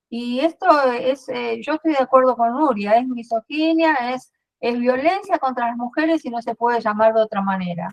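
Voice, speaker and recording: A woman's voice: on the high side, low-quality recording. A woman taking part in a virtual conference who comments emphatically and firmly agrees with the speaker. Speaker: conference participant. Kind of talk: comment on a video call. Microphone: video call.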